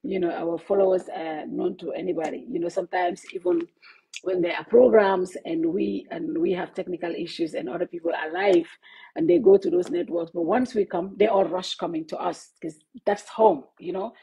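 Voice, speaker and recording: A woman with a low, husky voice hosts a live stream for her online network from her office, halting often with fillers and restarts. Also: animated, mellow, poor audio. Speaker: host of an online news network. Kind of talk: casual live-stream address to followers. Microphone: Bluetooth headset.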